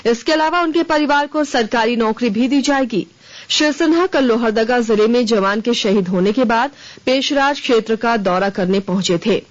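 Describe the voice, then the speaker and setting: A woman reading a scripted radio news bulletin in a formal, measured announcer delivery. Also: on the high side. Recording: dull, band-limited radio broadcast.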